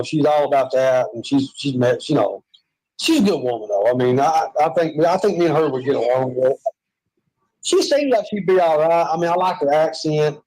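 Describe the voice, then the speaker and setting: A man's voice: medium pitch, dark tone, rough recording. A man with a Southern US accent, chatting and joking in a rambling, self-mocking way from a hospital room.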